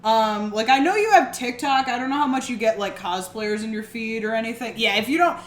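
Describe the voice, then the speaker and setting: A woman's voice: fairly high pitch, fairly quick. A woman in her twenties co-hosting a podcast, rambling casually with lots of fillers. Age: 20s. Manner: chatty, rambling with many fillers. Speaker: podcast co-host. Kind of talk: casual chat. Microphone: one shared microphone. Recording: roomy room, shared mic.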